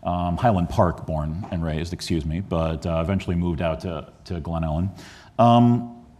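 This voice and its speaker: A man's voice: sits low, moderate pace, dark tone. A man from the Chicago area, a historian, giving a lecture on his book at a museum. He speaks earnestly, with frequent ums and restarts. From Chicago area, USA.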